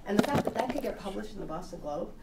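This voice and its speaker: A woman's voice: medium pitch, animated, racing. A woman giving a public talk and answering questions, wry and conversational. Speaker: invited speaker. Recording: echoey room, distant mic, noisy.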